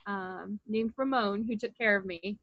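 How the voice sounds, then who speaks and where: A woman's voice: mid-range voice, steady tone, a little slow, no echo. A woman in her thirties, co-host of a crafting chat, talking casually and laughing easily over a video call from home. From New Hampshire and Virginia, US. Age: 30s. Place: home room. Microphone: webcam on video call. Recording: dull lo-fi call audio.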